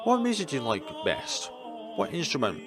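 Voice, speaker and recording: A man voicing a dreamy, gentle young woman who plays the flute in a visual novel, speaking earnestly and pensively. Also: fairly high pitch, wildly swooping pitch, normal speed, voice pushed up. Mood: inquisitive. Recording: music playing behind the voice.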